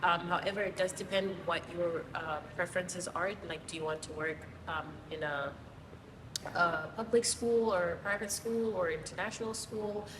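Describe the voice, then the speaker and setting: A woman from Zimbabwe, a guest teacher, explaining things casually with many pauses and ums. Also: fairly low pitch. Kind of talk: casual interview answers. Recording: distant mic, roomy hall, noisy.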